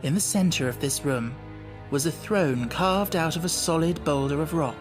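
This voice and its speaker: A man's voice: a little high, moderate pace, over music. A man reading scripted storybook narration as an audiobook narrator.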